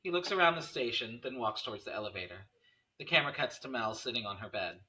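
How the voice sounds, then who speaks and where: A man's voice: medium pitch, lively intonation, fast, sharp tone, slight background noise, low-quality recording. A man narrating scene headings and stage directions at a screenplay table read.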